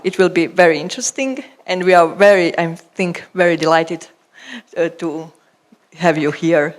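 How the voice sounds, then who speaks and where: A woman hosting an event who welcomes the speaker, pausing and hesitating as she speaks. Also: lowish voice. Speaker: event host.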